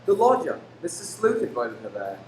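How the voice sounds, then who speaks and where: A woman's voice: sits low, big pitch swings, moderate pace, darkish tone. A woman playing a doting, bewildered husband and father in an earnest, theatrical radio-play style. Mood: bewildered, alarmed. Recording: roomy, echoing, faint background noise.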